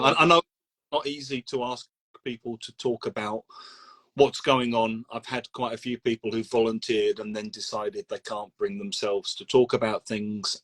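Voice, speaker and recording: A man with a British accent hosting a conversational interview over a video call, speaking haltingly and rephrasing his questions as he goes. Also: mid-range voice, fairly bright tone, rough recording. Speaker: interview host.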